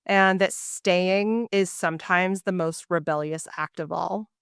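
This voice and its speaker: A woman hosts a conversational podcast interview. Her voice sits a little low and sounds crisp and smooth. The recording is dry and close, without any room echo. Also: steady tone, a little slow.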